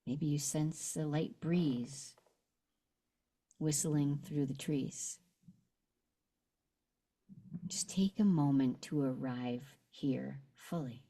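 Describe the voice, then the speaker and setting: A woman guides a meditation in a low, mellow voice. She speaks gently and very slowly, leaving long pauses between short phrases as she leads the listener through a visualization.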